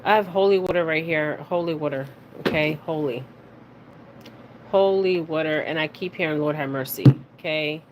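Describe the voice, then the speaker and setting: Halting woman on a noisy recording. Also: deep voice. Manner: halting, stumbles over words, often checks in with "okay?". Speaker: spiritual reader and card reader hosting her own video. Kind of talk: casual psychic reading, reading news details aloud. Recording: clearly noisy recording with background ambience.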